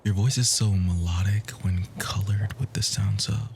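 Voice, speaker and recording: A man playing a charming, bookish nerd in an ASMR romance roleplay, flirting in formal, poetic phrases with a soft, breathy, often near-whispered voice. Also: fairly low pitch, steady tone, normal speed, faint music behind, close to an everyday voice. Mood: apologetic, tender. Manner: formal, wordy, gently hesitant flirting.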